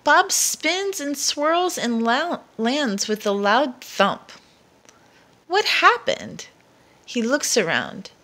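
A woman reading a children's picture book aloud as a storyteller, with expressive, deliberate narration and dramatic emphasis. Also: high-pitched.

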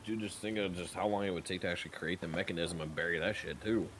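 A man's voice: deep voice, fairly even intonation, quick pace, noisy background. A man chatting casually with a friend during a co-op game, informal and sweary. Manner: profane, informal. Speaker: gaming partner. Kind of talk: casual gameplay chat. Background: game music and sound effects.

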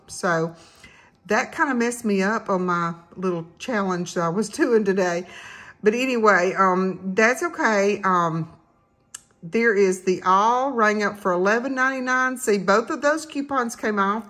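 A woman chatting casually to viewers as a couponing vlogger, in a halting, rambling way. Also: medium pitch, a bit bright. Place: indoors at home.

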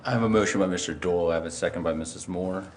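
A man's voice: low-pitched, steady tone, racing. An American man chairing a town council meeting, running through formal procedure off the cuff in an indoor room. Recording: noisy meeting room audio.

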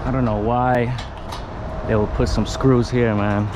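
Man narrating repairs over loud street noise. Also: medium pitch, level tone, measured pace. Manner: thinking aloud while working, plain and practical. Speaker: HVAC technician. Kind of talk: first-person work narration. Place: outdoors on a building rooftop. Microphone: head-mounted action camera. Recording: loud outdoor traffic noise. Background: city traffic and rooftop ambience.

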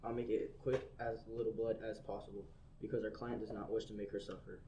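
Cold hired killer, played by a boy; low, dark, off-mic with faint hiss. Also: a little fast, distant mic, lo-fi sound, close to an everyday voice. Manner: calm, matter-of-fact. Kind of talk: student radio play acting.

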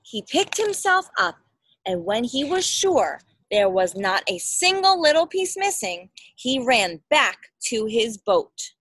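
A woman's voice: on the high side, sing-song, slow, a bit bright, no echo. A woman, around her thirties, reads a children's storybook aloud expressively, explaining things and asking simple questions for a child. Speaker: parent reading a picture book aloud. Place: outdoors in a backyard. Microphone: phone or laptop at arm's length.

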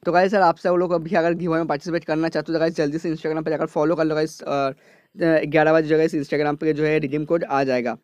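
Hoarse young man rattling through a gaming update. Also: a little high, little pitch movement, a little fast, darkish tone, no room sound. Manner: repeats "guys" constantly, rambling filler-heavy delivery. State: hoarse from a cold. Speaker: gaming YouTuber. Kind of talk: casual screen-recorded gaming update.

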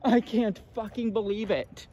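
A man's voice: high-pitched, steady tone, measured pace, mellow. A man giving casual walking commentary as he explores an empty mall's grounds and loading docks, muttering amazed asides, recorded on a handheld phone. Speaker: urban explorer vlogger. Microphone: handheld phone camera.

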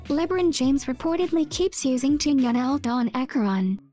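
A woman's voice: high-pitched, expressive, moderate pace, dark tone. A woman doing a scripted meme voice-over, reading out captions and short lines. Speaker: meme video narrator. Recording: music under the voice. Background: background music.

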